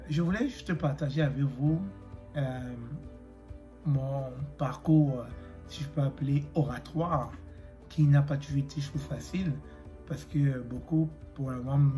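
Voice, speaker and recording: Man with a cold, halting motivational talk. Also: mid-range voice, warm tone. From Africa. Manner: hesitant, many fillers, direct address. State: has a cold, clears throat. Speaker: YouTuber.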